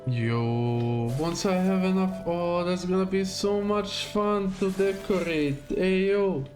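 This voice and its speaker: A man's voice: high-pitched, slow pace, over music. A man giving casual live gameplay commentary, muttering to himself in short fragments. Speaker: game livestreamer.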